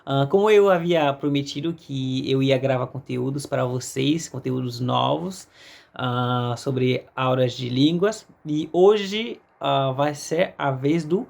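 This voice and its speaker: A man from Haiti with a Haitian accent, a native Haitian Creole speaker, teaching a casual language lesson in a halting way with frequent check-in tags. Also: mid-range voice, vocal fry.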